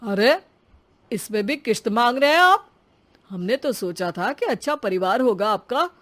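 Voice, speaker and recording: A woman's voice: a little high, lively intonation, unhurried, fairly bright tone. A woman voicing a greedy, haughty father of the groom in a cartoon story, talking in a demanding and threatening way. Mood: angry, threatening.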